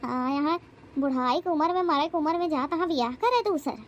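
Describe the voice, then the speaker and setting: A woman voicing a sharp-tongued, angry old village wife in a comedy cartoon, scolding and taunting with an Awadhi accent. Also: high voice, a little fast, highs cut off, natural voice. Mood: furious, threatening. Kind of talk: rustic comedy cartoon acting.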